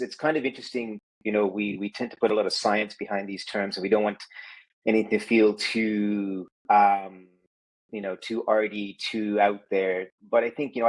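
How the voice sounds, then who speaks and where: A man from Kenya talks through his background as a podcast guest, his voice sitting on the low side. He speaks in a thoughtful, winding way, dotting his sentences with "you know". The sound is that of a video call. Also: low-quality recording. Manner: thoughtful, meandering, many "you know" fillers. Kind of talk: reflective conversational storytelling.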